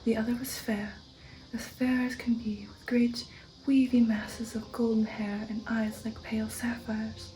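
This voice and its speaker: A woman reading a literary story in a hushed, breathy ASMR whisper, slow and deliberate. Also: a little high, little pitch movement, rough recording. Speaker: audiobook-style reader and ASMR host. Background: faint background music.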